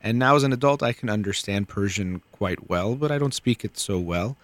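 A man hosting a call-in radio show, giving calm, measured advice with casual fillers. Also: lowish voice. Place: radio studio.

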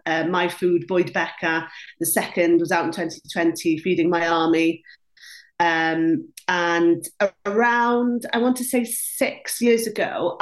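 A woman in her late thirties whose first language is Welsh, chatting enthusiastically about her career in an interview with frequent ums. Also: mid-range voice. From Wales. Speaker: guest, dietitian and former baking contestant. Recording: roomy echo.

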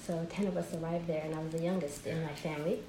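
A woman's voice: sits low, quick pace. A Somali American woman who directs an NGO, telling her story in an interview with frequent fillers. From Somaliland, raised in the United States. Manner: animated, warm storytelling with many fillers. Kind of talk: conversational interview. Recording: echoey room with faint noise.

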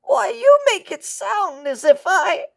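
A woman's voice: extremely high pitch, very animated, slow pace, gravelly, fairly bright tone, exaggerated voice. An actor playing a timid, deferential woman who speaks hesitantly and stammers in a melodramatic radio play. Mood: tearful, pleading. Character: timid, tearful, deferential woman, a former household employee.

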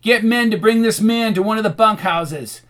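A man's voice: high voice, normal speed, fairly bright tone, pitched above the performer's own voice. Man acting an officious, haughty little steward. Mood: bossy. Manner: haughty, challenging. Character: officious little red-bearded male steward, arrogant and bossy.

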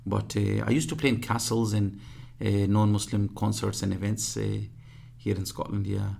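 A man in his fifties from Pakistan, reminiscing in an interview in a halting way full of fillers. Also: lowish voice, little pitch movement, gravelly, darkish tone. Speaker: guest.

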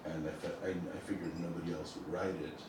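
A man whose first language is Spanish, an author talking thoughtfully about his book in a halting, self-correcting way. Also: sits low, flat delivery. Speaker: author speaking at a book event. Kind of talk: reflective informal talk. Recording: echoey room from a distant mic, noisy.

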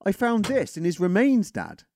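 An actor playing an eager, odd young son of a gangster in a dark comedy crime drama, earnest and naive. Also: male voice, high voice, wildly swooping pitch, normal speed, slight background noise, full character voice. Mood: earnest, eerie.

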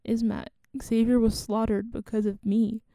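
An actress playing a solemn, resolute woman in a radio play, speaking in a measured, earnest way. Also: a little high, level tone, mellow, no echo, lightly acted. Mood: solemn, resolved. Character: solemn, resolute woman confessing a long-hidden identity and grief.

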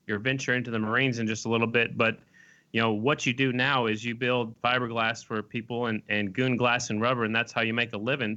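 A man's voice: mid-range voice, pushed and bright, highs cut off. A man hosting a podcast interview, asking questions in a casual, friendly way. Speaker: podcast host.

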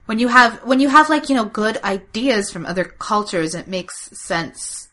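A woman's voice: on the high side, animated, normal speed, bright, forceful tone. A woman co-hosting a podcast in casual chat, speaking informally and emphatically in stop-start phrases. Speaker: podcast co-host.

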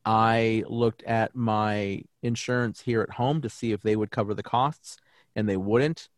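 A man's voice: lowish voice, steady tone, a little slow, no room sound, clean studio sound. A young adult man, a native English speaker who teaches German, talking earnestly and reflectively in a podcast interview, often restarting his sentences.

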